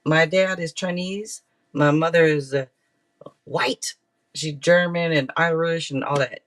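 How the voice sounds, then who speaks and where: A man's voice: a little high, fairly even intonation, measured pace, a bit bright. A man hosting a casual live tarot reading, chatting to viewers in a rambling, playful way with frequent asides. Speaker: tarot reader and livestream host.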